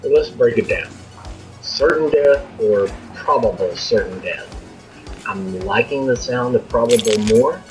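An actor playing a wary, dry-witted henchman in an audio drama, with a wry, deliberate delivery. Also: medium pitch, over music, natural voice, rough recording. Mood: wry, uneasy.

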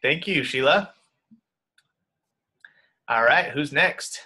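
A man's voice: fairly high pitch, lively intonation, takes it slowly, sharp tone, husky. A man in his thirties hosting a group video call from his home office, upbeat and welcoming. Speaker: host.

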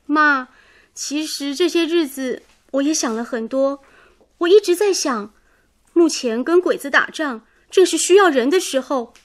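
High-voiced earnest young daughter. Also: female voice, expressive, measured pace. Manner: earnest, emotional, pleading. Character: earnest, idealistic young daughter, patriotic and sensitive. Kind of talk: radio drama acting.

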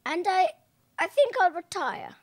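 A woman's voice: extremely high pitch, big pitch swings, a little slow, dry recording, studio quality, heavily acted. A young woman playing a genteel, imperious elderly lady hosting dinner in an amateur radio play. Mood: gracious, formal. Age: young adult. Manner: formal, imperious.

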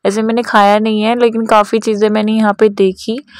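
A woman's voice: mid-range voice, flat delivery, a little fast, dry recording, studio quality. A young woman hosting her own vlog, chatting casually and cheerfully as she walks viewers through a food festival, holding the phone camera at arm's length. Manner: chatty, upbeat, mixes English phrases into speech. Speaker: vlogger, channel host. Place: outdoor festival grounds. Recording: loud music and crowd behind.

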